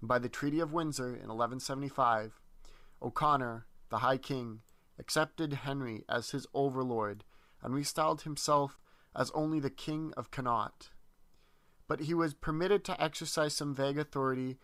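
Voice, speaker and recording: A man presenting a history lesson, reading a dense text aloud in a measured way with small slips and restarts. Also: medium pitch, fairly bright tone, dry recording.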